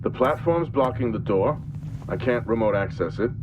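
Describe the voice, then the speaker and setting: A man's voice: lively intonation, moderate pace, dull audio, close to an everyday voice. A man playing a beleaguered, wisecracking engineer in a video game, understated and droll in a naturalistic style. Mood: frustrated, resolute. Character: beleaguered, wisecracking male engineer exploring a mining base. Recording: clear background noise and rumbling ambience.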